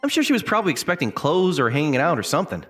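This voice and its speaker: A man's voice: on the high side, big pitch swings, rapid-fire, a bit bright. A man playing a young college student, ordinary, guarded and self-deprecating, in an anime-style dub with a hesitant, dry, puzzled delivery. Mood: dry, bemused. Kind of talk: anime-style dub with first-person narration and inner monologue. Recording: music behind the voice.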